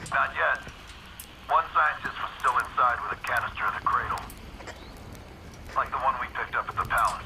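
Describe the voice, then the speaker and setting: An actor playing a cool, ruthless intelligence officer, terse and dry, in a video game cutscene. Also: male voice, mid-range voice, moderate pace, pauses often, lightly acted. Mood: cool, urgent. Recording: loud noise behind.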